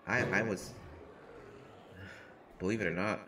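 Small, wisecracking teen boy, a man acting him, lowish slow voice, audio drama over noisy hiss. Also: steady tone. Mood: chatty. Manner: rambling, self-mocking, nervous humor. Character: short teenage boy, joking and self-deprecating. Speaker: voice actor in an audio roleplay. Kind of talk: listener-directed audio roleplay.

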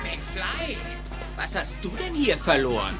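A man's voice: high voice, wildly swooping pitch, slow, loud music behind the voice, full character voice. A man acting a menacing young bully in a film dub, mocking and taunting.

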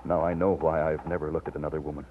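An actor playing an earnest, agitated young man torn by love, melodramatic and halting, in an old-time radio romance. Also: extremely low pitch, little pitch movement. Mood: tender. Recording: scratchy old radio, narrow muffled band.

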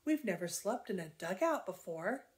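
Storybook pioneer mom, soft and relieved, read by a woman in her own voice. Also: mid-range voice, sing-song, normal speed, natural voice. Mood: content. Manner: soft and content. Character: calm, cheerful pioneer mother.

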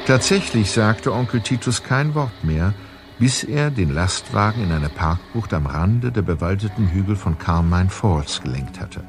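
Male narrator for a mystery radio play: low voice, scripted storytelling, faint music underneath. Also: lively intonation, unhurried. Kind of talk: scripted narration of a detective audio drama.

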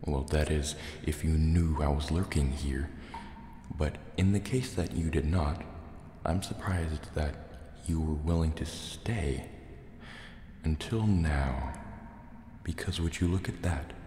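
An extremely low, dark-toned sea serpent dragon , played by a man, echoes through a cave. He is huge, proud and wary, murmuring soft threats with deliberate care in an ASMR roleplay. Faint water ambience sits behind the long reverb. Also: a little hiss or hum. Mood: bewildered, guarded. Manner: slow, deliberate, threatening yet hesitant. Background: water sounds and cave ambience.

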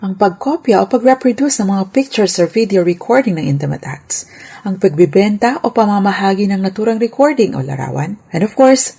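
A woman with a husky voice gives a scripted legal explainer on radio in a formal, polite, instructive way, mixing in English terms. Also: mid-range voice, animated, fairly quick, warm tone. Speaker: lawyer and radio legal segment host.

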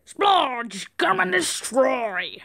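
Woman voicing a shrill, menacing cartoon train villain. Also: big pitch swings, very slow, gravelly, exaggerated voice. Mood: gloating, menacing. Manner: theatrical taunting, shouting and cackling. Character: menacing, gloating diesel engine villain, male. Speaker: amateur voice actor. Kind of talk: fan dub of a film villain.

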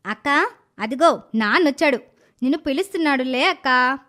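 A woman voicing a spiteful, scheming young village girl in an animated moral story, with a whiny, dramatic delivery. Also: sits high, normal speed. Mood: worried.

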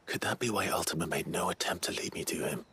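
An actor playing a grim, resolute fantasy game hero, low and gravelly, speaking in a brooding, measured way. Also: male voice, bright, forceful tone, heavily acted. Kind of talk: dramatic video game acting.

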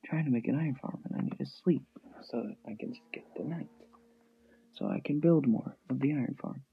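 Gravelly guy on a tinny mic. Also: mid-range voice, a little fast. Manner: thinking aloud in short fragments. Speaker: gamer narrating own gameplay. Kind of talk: casual gameplay commentary. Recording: thin phone-like mic, noisy, clicking.

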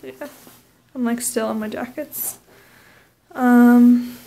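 A woman's voice: a little high, steady tone, slow, mellow, slight background noise. A young woman chatting casually to a vlog camera in an informal, hesitant way with trailing phrases. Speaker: vlogger. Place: indoors, bedroom and hotel suite.

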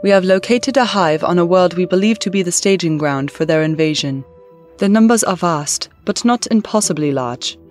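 A woman's voice: lowish voice, darkish tone, soft background music, close to an everyday voice. A woman playing a scheming alien empress. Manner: formal, measured.

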